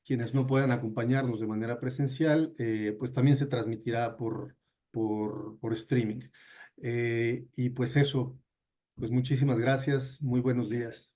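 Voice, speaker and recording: A man announces an upcoming seminar session, speaking haltingly, with fillers and restarts. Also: medium pitch, fairly even intonation, mellow. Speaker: seminar organizer making announcements.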